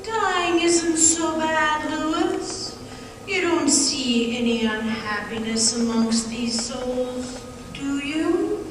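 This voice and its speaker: A woman playing the calm, eerie ghost of a murdered woman, intoning with a lilt in old-time radio horror style. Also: high voice. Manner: slow, lilting, otherworldly intoning. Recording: cavernous echo, distant, hissy.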